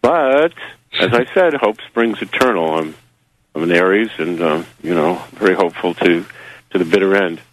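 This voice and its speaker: Low-voiced man, halting and wry. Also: animated, dry recording, poor audio. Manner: halting, heavy with fillers and wry asides. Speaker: magazine publisher and interview guest. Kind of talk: rambling radio interview answers. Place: New Zealand.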